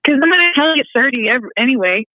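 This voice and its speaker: High, upbeat woman on a tinny phone line. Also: expressive, quick pace, no echo. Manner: upbeat, giggly. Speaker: guest model. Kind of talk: phone interview.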